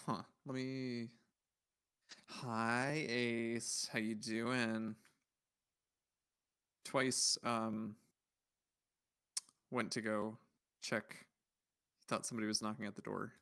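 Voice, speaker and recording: A man's voice: mid-range voice, little pitch movement, slow pace, pauses often, sharp tone, clear, resonant tone. A man hosting a livestream, chatting casually and rambling as he thinks aloud in a puzzled, musing way while browsing. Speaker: livestream host.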